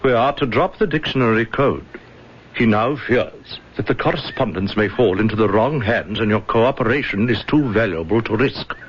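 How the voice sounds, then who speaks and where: An actor in an old radio drama playing a polite, hesitant gentleman go-between, courteous and formal. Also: lowish voice, animated, normal speed, lightly acted. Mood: hesitant, diplomatic. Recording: muffled, noisy old radio recording.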